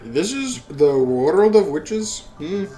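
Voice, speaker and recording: A man's voice: on the high side, big pitch swings, takes it slowly, mellow, soft background music. A man who hosts a let's play reads a visual novel aloud and adds casual asides with swearing.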